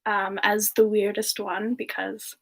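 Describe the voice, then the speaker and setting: A woman playing a sweet, bashful female demon singer, soft-spoken and hesitant, in a semi-ASMR livestream chat. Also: medium pitch, flat delivery, a little slow, creaky. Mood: amused, shy.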